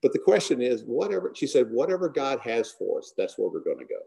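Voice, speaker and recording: A middle-aged man giving an earnest devotional talk to a men's group and closing in prayer, often trailing off softly at the ends of lines. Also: mid-range voice, big pitch swings, fast talker, vocal fry. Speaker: guest speaker. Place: large hall. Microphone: camera close below the face.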